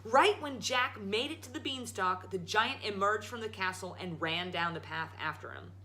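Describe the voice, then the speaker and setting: A woman storyteller reading a children's story aloud in a dramatic, emphatic, expressive way. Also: mid-range voice, normal speed. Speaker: storyteller, show host.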